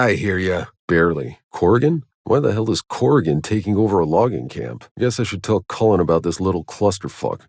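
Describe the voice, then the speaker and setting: An actor voicing a jaded, sardonic, hardened soldier in a video game, with a rough voice and a wry, terse, world-weary delivery. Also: male voice, sits low, a little mellow, no room sound, clean studio sound, exaggerated voice. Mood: tense, raised. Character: jaded, sardonic male soldier, hardened survivor of alien wilds. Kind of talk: video game character voice lines.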